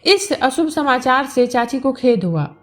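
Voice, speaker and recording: High-pitched woman narrating a story over music. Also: lively intonation, measured pace. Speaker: storyteller reading a novel aloud. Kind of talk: scripted audiobook narration of a village novel.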